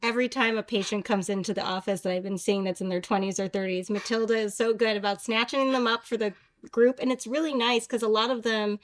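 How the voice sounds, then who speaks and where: A woman's voice: fairly high pitch, steady tone, fast. Confident woman clinician explaining plainly. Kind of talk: explanatory interview talk.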